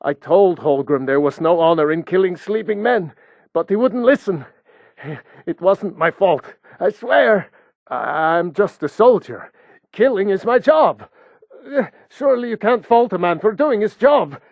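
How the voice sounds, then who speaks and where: An actor playing a frightened captive Nord mercenary in a video game, hesitant, stammering and pleading. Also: male voice, sits high, lively intonation, a little slow, gravelly, dry recording, narrow, tinny audio, exaggerated voice. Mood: nervous, defensive. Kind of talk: video game character dialogue.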